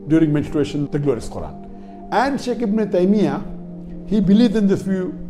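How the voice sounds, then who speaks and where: A man gives a religious lecture, speaking deliberately and emphatically in short, repeated phrases. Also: fairly high pitch. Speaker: Islamic preacher answering a religious question. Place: indoor studio set.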